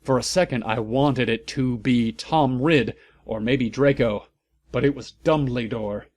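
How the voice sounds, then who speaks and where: Slow-talking man, deadpan and mocking. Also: mid-range voice, no room sound. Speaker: host of a fan fiction reading channel. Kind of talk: comedic dramatic reading with sarcastic asides.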